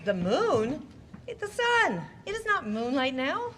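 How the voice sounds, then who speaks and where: Woman playing a spirited, animated wife. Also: high voice, slow pace, exaggerated voice. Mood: protesting. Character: spirited wife, contrary then mockingly obedient. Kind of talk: Shakespearean comedy audio play acting. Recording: noticeable background noise.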